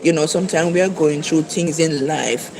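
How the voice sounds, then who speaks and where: An earnest Jamaican-accented preacher speaks to online viewers, driving points home by repeating them. The voice sits at an ordinary middle pitch. A clear crowd murmurs behind. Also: moderate pace. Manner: earnest, repetitive exhortation. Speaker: livestream preacher. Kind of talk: casual encouraging talk to livestream viewers.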